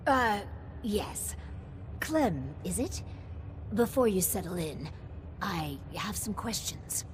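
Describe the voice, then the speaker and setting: An actress playing an officious, coldly pragmatic overseer in a video game, speaking formally and deliberately. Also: female voice, medium pitch, big pitch swings, background noise. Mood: hesitant, probing. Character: officious, coldly pragmatic female vault overseer, measured and scheming. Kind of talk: video game character dialogue.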